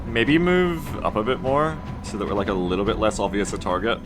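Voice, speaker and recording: A man's voice: fairly low pitch, brisk. Man streaming, wry sweary game commentary. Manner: wry, rambling, sweary, thinking aloud. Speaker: video game live streamer. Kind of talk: casual live gameplay commentary. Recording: music and game sound behind the voice. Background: tactical video game audio and music.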